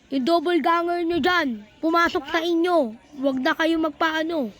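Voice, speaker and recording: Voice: very high-pitched, fairly even intonation, normal speed, fairly bright tone. An exclamatory, slangy voice acting out an improvised horror skit as a series of phone calls, with music behind.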